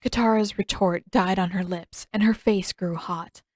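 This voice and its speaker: A woman's voice: medium pitch, steady tone, normal speed, no room sound. Woman narrating fiction, very dry sound. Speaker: audiobook narrator.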